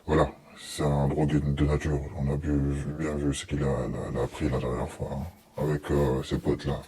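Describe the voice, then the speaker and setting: Male reaction commentator: deep, mellow voice, colloquial and blunt, exasperated and dismissive delivery. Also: little pitch movement, moderate pace. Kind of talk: informal opinionated reaction commentary.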